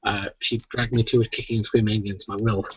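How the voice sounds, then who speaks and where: A man's voice: fairly low pitch, flat delivery, quick pace, muffled recording, slight background noise. A British man co-hosting a casual podcast, chatty and rambling, swearing freely. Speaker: podcast co-host.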